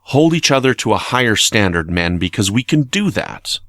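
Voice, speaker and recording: A man giving opinionated, conversational podcast commentary in an earnest, emphatic way, sometimes halting as he reasons aloud. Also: fairly low pitch, very animated, a bit bright, no room sound. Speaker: podcast commentator reviewing a TV episode.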